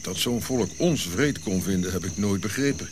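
An actor playing a reflective Ashanti prince who recounts his childhood in measured radio drama narration. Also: low voice, animated, noisy background.

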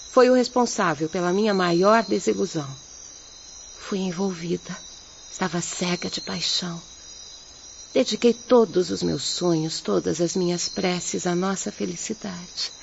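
A muffled radio drama recording with faint noise and night insects carries a gentle young peasant woman. She has a Brazilian accent and speaks in an earnest, measured, consoling manner, like a devout spirit offering comfort. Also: medium pitch, gravelly. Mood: sorrowful. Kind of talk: Portuguese-language radio drama acting.

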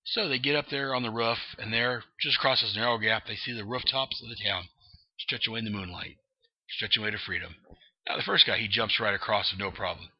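A man's voice: fairly low pitch, a little fast, husky, dry recording. A man reading aloud as a deranged male clown villain telling a joke, in a lilting, playful way. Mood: gleeful. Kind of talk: reading comic dialogue aloud. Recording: dull lo-fi dry recording.

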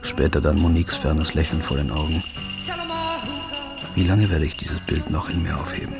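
An actor playing a weary man who narrates his own search for his girlfriend's killer in a radio play, speaking in a low, subdued murmur. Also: fairly even intonation, normal speed, vocal fry. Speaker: first-person narrator and protagonist. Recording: music underneath. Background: loud music.

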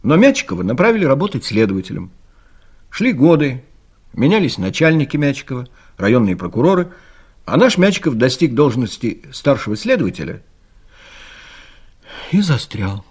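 A man's voice: mid-range voice, big pitch swings, moderate pace, pauses often, husky. A man narrating a scripted comic story as the storyteller of an audio play.

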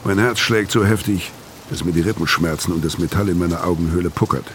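An actor playing a weary, haunted older ex-convict, narrating a radio crime drama in a brooding, measured way. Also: male voice, low voice, a little hiss or hum, close to an everyday voice. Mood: tense. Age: 50s. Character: weary, haunted older male ex-convict telling his story.

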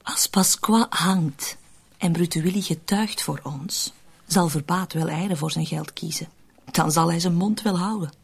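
An actress playing a cunning, cold woman in a radio drama, persuasive and controlled, with a husky, often breathy voice. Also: on the low side, unhurried, exaggerated voice. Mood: coaxing, scheming. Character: cunning, cold, manipulative woman plotting to shift murder blame. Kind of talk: Dutch-language radio drama acting.

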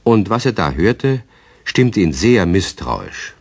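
A man narrating a children's radio play in a calm, measured storybook manner. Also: on the low side, breathy edge, dry recording.

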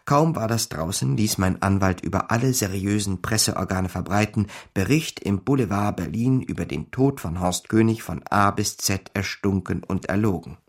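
A man's voice: fairly low pitch, moderate pace, few pauses. An actor playing a wry small-time con man who tells his own story in a radio drama, dry and matter-of-fact. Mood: dry, satisfied. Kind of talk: scripted first-person radio drama narration.

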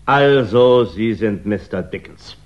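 A fairly deep male voice plays a village police inspector in a 1960s radio play. He is courteous and eager, choosing his words deliberately. The recording sounds dull and old. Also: lively intonation. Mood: pleased, courteous. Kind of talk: 1960s German radio crime drama.

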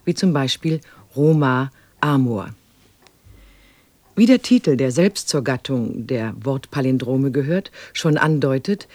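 Man, radio announcer, slightly high pitch, measured explanatory reading, scripted broadcast introduction, dry close sound. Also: darkish tone, no room sound.

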